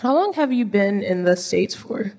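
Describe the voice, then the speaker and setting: A woman's voice: mid-range voice, lively intonation, moderate pace, darkish tone. A young adult woman hosting a live panel on a theater stage, asking short, friendly questions into a handheld mic. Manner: friendly and brief, with short questions and small acknowledgements. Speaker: panel moderator.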